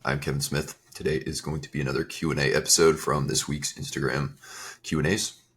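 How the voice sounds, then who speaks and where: Very deep-voiced man, slightly raspy. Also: fairly quick. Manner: relaxed, rambling, conversational. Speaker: podcast host and fitness coach. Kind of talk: casual solo podcast talk.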